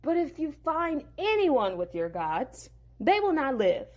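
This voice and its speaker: A woman's voice: high voice, big pitch swings, measured pace, a little mellow, pitched above the performer's own voice. A woman doing an aggrieved young shepherd. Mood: earnest. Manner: pleading, then protesting. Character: wronged young man, aggrieved husband and shepherd.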